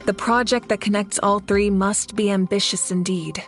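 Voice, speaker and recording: Actress as a composed, noble warrior leader. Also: female voice, medium pitch, steady tone, lightly acted. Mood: serious, resolute. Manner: measured, formal, assured. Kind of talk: video game character dialogue. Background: background music.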